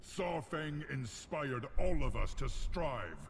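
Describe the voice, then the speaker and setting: Gruff Horde war leader, slow and declamatory, gravel in the voice. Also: male voice, on the high side, animated, a little hiss or hum, full character voice. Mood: solemn tribute. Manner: ceremonial, weighty, declamatory. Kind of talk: cinematic game acting. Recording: game music behind voice.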